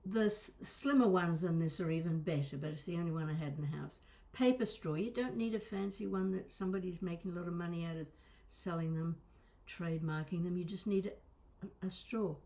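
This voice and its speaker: Woman voice coach explaining exercises, kinda low voice, chatty but emphatic on the important words. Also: brisk, few pauses, warm tone. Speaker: voice and accent coach. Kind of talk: instructional demonstration of vocal exercises.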